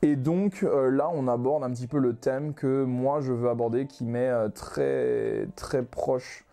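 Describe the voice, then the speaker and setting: Relaxed young man with a mellow voice. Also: mid-range voice, unhurried, creaky. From Ghana. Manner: relaxed, many hesitations and restarts. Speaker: manga author guest. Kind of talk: casual podcast conversation.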